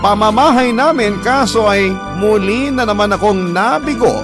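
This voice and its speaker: A man's voice: high voice, moderate pace, close to an everyday voice. A man with a Filipino accent giving dramatic, scripted first-person narration as a radio drama narrator. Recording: clear music behind the narration.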